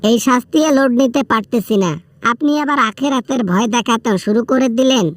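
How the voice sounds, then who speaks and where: A man's voice: extremely high pitch, a little fast, no echo. A man with a Bangladeshi accent playing a whiny, frightened prisoner in a comic phone-call skit, pleading, stammering and repeating himself. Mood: scared, flustered. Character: whiny, frightened male prisoner begging for mercy.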